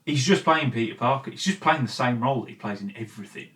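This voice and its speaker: A man's voice: mid-range voice, quick pace, fairly bright tone, slight background noise. A man hosting a commentary show, talking in a casual, opinionated rant with emphatic, exasperated delivery and frequent swearing. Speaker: host of a film and game commentary show. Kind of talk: opinionated video essay rant, casual and conversational.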